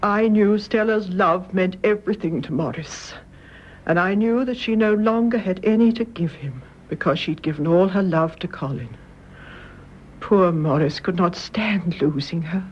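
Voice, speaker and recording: Low-voiced, grave elderly British mother. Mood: solemn, confessing. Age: older. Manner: measured, gentle, solemn. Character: grave, loving elderly mother confessing with quiet dignity. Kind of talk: radio drama acting. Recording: muffled radio recording, clear background noise.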